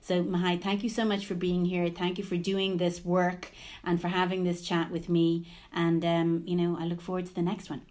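Woman, podcast host; slightly low, upbeat interviewer. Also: completely flat delivery, normal speed, few pauses, darkish tone. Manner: enthusiastic, friendly, repeats words when starting.

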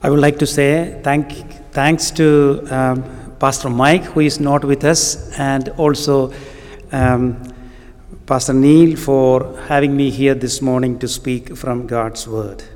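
A middle-aged man from Kerala, India, with a Malayali Indian accent and Malayalam as his first language, preaching a sermon as a guest, halting with frequent ums. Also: medium pitch, fairly even intonation, darkish tone. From Kerala, southern India. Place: church with long reverb. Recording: reverberant church hall.

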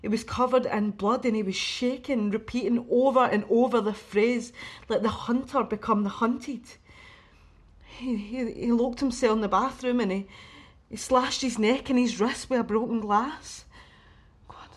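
An actress playing a shaken woman in a radio horror drama, recounting grisly events in a grave, halting way. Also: a little high, steady tone, breathy edge.

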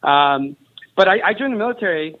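A man's voice: sits high, expressive, brisk. A man in his thirties from Mexico, a company founder and Army veteran, telling his story as an enthusiastic, jokey guest. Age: 30s. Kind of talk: casual interview chat. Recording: tinny phone-line audio.

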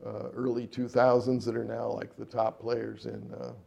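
A man's voice: medium pitch, flat delivery, normal speed, creaky, warm tone. A middle-aged man, a jazz trumpeter and composer, answering interview questions in a rambling way with many fillers and restarts.

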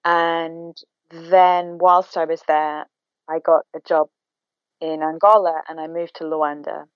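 A woman, a documentary filmmaker, answering interview questions in a reflective, measured way over a phone call. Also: low voice, little pitch movement, clean tone, dry recording. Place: home, remote call. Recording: dull phone-call audio.

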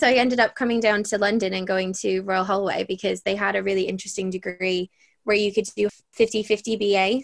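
Giggly young woman with a mixed Irish accent. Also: mid-range voice. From Ireland. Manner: chatty and giggly, often laughing mid-sentence. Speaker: guest. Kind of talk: casual interview chat.

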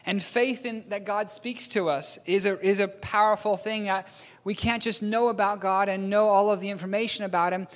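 A man's voice: high-pitched, steady tone, normal speed. A man from New Zealand preaching a storytelling sermon, rambling a little and correcting himself with a chuckle. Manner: rambling, self-correcting, chuckles at own slips. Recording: long hall reverb.

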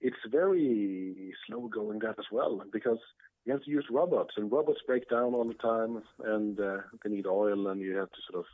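A man's voice: on the low side, normal speed, no room sound. Swedish-accented man on a tinny line. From Sweden. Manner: hesitant, many fillers and restarts. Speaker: guest, computer science professor. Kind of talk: conversational interview.

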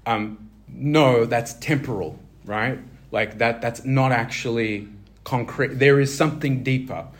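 A man's voice: mid-range voice, a little slow. Guy preaching casually, lots of ums and restarts, checks in with the crowd. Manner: conversational, many fillers and restarts, asks the audience questions. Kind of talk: informal sermon teaching.

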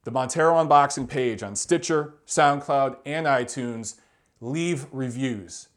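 A man's voice: medium pitch, unhurried. A man hosting his own show, talking casually and chattily to the camera. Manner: relaxed and chatty, with fillers. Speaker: show host.

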